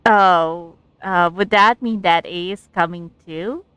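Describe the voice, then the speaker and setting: A woman's voice: fairly low pitch, slow, fairly bright tone, clear, resonant tone, no echo. A woman streaming a game, giving casual, amused and giggly commentary. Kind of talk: casual live gameplay commentary, reading some dialogue aloud.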